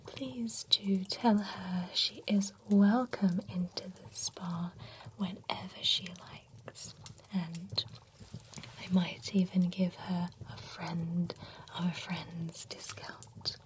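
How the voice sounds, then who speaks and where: A woman voicing a genteel female vampire spa attendant in an ASMR roleplay, whispering close to the mic in a gently chatty, courteous way. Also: on the low side, steady tone, slow pace, a little hiss or hum. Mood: soft, courteous.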